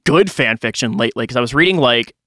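Bright-voiced man chatting on a podcast. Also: mid-range voice, lively intonation, fast talker, no echo, studio quality. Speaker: podcast co-host. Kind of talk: casual podcast chat.

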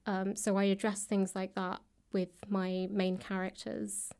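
Female interview guest, fairly flat intonation, reflective and hesitant, conversational delivery with frequent pauses. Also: medium pitch, smooth. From grew up in the UK and India. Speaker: guest, author and actor. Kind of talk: thoughtful conversational interview answers. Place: indoors, upper floor of a public library.

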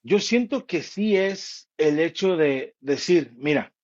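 A man's voice: fairly high pitch, lively intonation, takes it slowly, darkish tone, dull audio. A man co-hosting a casual chat, teasing and joking through the banter. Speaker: podcast co-host.

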